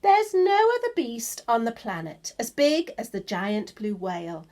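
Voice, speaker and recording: A woman's voice: on the high side, wildly swooping pitch, a little slow, a little mellow. A woman reading a children's picture book of verse aloud, stretching words for emphasis and pointing things out to young listeners.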